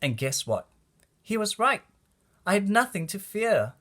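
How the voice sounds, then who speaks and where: Male storybook reader for young kids, lively and varied intonation, unhurried pace, expressive phrasing. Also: high-pitched. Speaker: children's book reader. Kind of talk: storybook read-aloud for kids.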